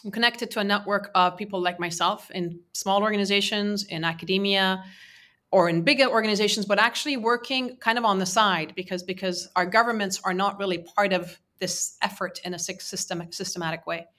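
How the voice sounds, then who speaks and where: A woman's voice: mid-range voice, fairly even intonation, a little fast, bright, forceful tone. A woman whose first language is Persian, answering interview questions earnestly, with many fillers and restarts. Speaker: interviewee, women's peace advocate.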